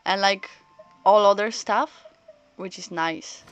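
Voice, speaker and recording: A woman live streamer chatting casually while playing a story game, rambling and halting as she thinks out loud, with game music behind her. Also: medium pitch, smooth.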